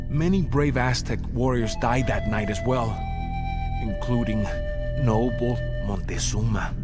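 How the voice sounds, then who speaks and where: Male actor as Aztec emperor, grave and proud, theatrical, widely varied intonation, medium pitch, prominent music underneath, video game narration. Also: lightly acted. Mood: somber, grave. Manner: solemn, measured, theatrical. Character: grave, proud Aztec emperor recounting his people's war. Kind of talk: dramatic scripted cutscene narration. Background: background music.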